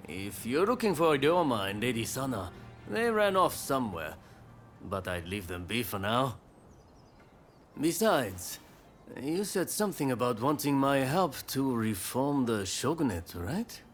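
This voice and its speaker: Wry, lively young samurai. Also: male voice, medium pitch, a little slow, soft background music. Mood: confident, challenging. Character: confident, courteous young samurai, wry and sporting. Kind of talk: video game cutscene acting.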